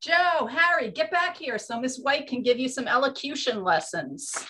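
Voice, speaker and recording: An actress playing a brash, slangy speakeasy proprietress of the 1920s in a comic period drama. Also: female voice, high-pitched, lively intonation, normal speed, sharp tone. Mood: bossy, brisk. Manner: bossy, slangy. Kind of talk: period comedy radio-play acting.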